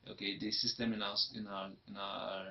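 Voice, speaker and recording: Nigerian guy telling his story, gravelly voice, repeats himself a lot. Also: fairly low pitch, flat delivery, moderate pace. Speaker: interviewee. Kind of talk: personal testimony. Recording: dull lo-fi recording.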